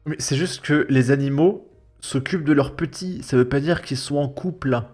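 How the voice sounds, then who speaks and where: A man's voice: mid-range voice, normal speed, a little mellow. A young man giving casual, mocking reaction commentary in a slangy, sarcastic, exasperated way. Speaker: YouTube commentator. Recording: music behind the voice. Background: background music.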